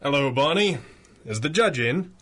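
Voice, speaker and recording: A man's voice: fairly high pitch, lively intonation, moderate pace, over loud music, natural voice. A man playing a composed, politely probing masked detective hero in a 1930s-style radio drama. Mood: genial. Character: suave, composed male masked superhero detective.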